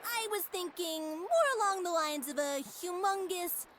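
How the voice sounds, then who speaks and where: A woman voicing an excitable, sarcastic small animal sidekick in a cartoon adventure game, with a dramatic, wisecracking manner. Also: very high voice, unhurried, background noise.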